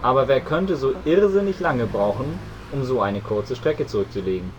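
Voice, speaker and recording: Man as earnest boy sleuth, tentative, agreeable, animated pitch, faint noise, amateur parody radio play. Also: normal speed, highs cut off, lightly acted. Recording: faintly noisy amateur recording.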